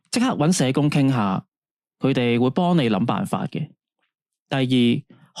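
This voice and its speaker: A man's voice: medium pitch, a little mellow, dry recording, clean studio sound. A man playing a soothing late-night radio host. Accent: Hong Kong Cantonese. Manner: soothing, patient, counselling tone. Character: gentle male late-night radio host, counselling a caller. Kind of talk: radio drama acting.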